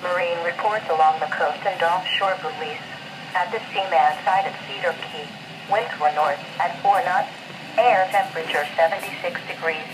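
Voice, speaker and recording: A woman's voice: fairly low pitch, moderate pace. A computerized woman's voice gives a scripted reading of marine reports as a weather radio announcer. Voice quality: synthetic computerized voice. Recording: noisy static-laden weather radio broadcast.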